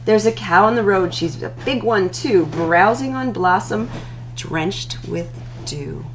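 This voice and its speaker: Woman reading aloud with very lively pitch. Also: muffled recording, slight background noise. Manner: expressive, singsong delivery with drawn-out words. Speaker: library storytime host. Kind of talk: animated read-aloud of rhyming picture books.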